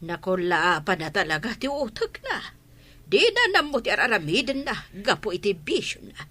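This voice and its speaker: A fretful older mother voiced by a woman in a radio drama, bright and forward in tone. Her disapproving lines rise and fall widely as she talks about family matters. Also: medium pitch, sing-song, moderate pace. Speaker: voice actor in an audio drama.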